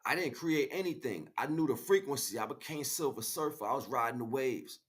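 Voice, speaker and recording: A man's voice: medium pitch, animated, fairly quick. American man preaching in street slang. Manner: preachy, slangy, repeats phrases for emphasis. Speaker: spiritual livestream host. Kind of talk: impromptu spiritual monologue to listeners.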